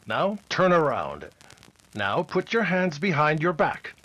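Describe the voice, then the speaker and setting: A man's voice: on the high side, big pitch swings, slow, fairly bright tone, full character voice, poor audio. A man acting a stern, commanding, moralizing Mountie lawman in the style of a 1950s children's radio adventure. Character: commanding heroic Mountie lawman with a loyal dog.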